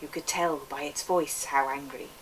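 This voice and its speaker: A woman voicing an earnest Welsh boy narrator from a storybook memoir, with a put-on Welsh accent and a lilting, measured, lyrical delivery. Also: sits low, steady tone, pitched below the performer's own voice. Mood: earnest, wistful. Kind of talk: expressive literary reading. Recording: distant microphone, background noise.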